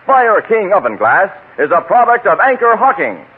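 A man's voice: high-pitched, very animated, moderate pace. A man reading a scripted old-time radio advertisement in a polished, emphatic announcer style. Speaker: commercial announcer. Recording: narrow-band old radio, faint music.

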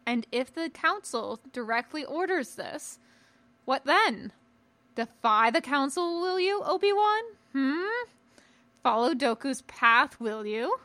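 A woman's voice: high voice, lively intonation, slow, bright, forceful tone, pitched above the performer's own voice, exaggerated voice. A woman voicing a small, stern old male alien master from an audiobook, commanding, with inverted phrasing and questioning hums. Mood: stern, probing.

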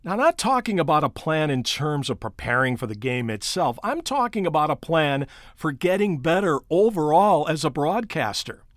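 An American man in middle age presents a coaching podcast with a sportscaster's emphatic delivery. His voice sits a little high and moves with lots of melodic variety. The sound is very dry, with no room around it, and he addresses the listener directly. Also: big pitch swings, normal speed, hardly any pauses, no room sound. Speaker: podcast host and sports play-by-play broadcaster. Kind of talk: prepared instructional podcast narration with personal anecdotes.

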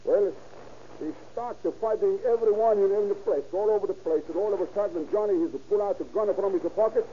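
An actor playing an excitable immigrant witness who rambles eagerly in broken English in a 1930s radio crime drama. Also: male voice, sits high, brisk, lightly acted. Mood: excited, rambling. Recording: muffled, noisy old radio recording.

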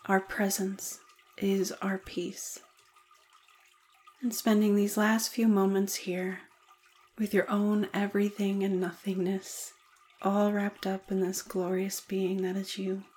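Woman, meditation guide, very slow pace, even pitch, soothing delivery, gentle pauses.

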